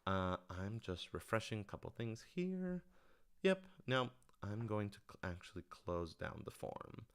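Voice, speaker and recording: A man with a lowish, clear and resonant voice hosts an informal livestream Q&A. He talks casually to his audience as a writer-director, rambling a little and working out his thoughts out loud. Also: big pitch swings, unhurried. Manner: rambling, thinking aloud.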